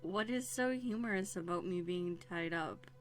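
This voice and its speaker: A proud young runaway princess, acted by a woman in an audio roleplay, with a slightly low female voice. She talks primly and formally, guarded and quick-tongued, with dramatic hesitations over background music. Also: natural voice. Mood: skeptical, challenging. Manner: prim, formal, indignant phrasing with dramatic hesitations. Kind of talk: scripted audio roleplay acting. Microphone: close USB studio microphone.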